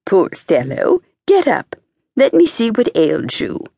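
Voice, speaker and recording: A woman's voice: medium pitch, huge pitch swings, slow pace, creaky, dark tone, slightly rough, no room sound, pitched above the performer's own voice, full character voice. A woman voicing a kindly rich merchant in a storybook, paternal and authoritative. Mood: concerned. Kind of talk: storybook character voice.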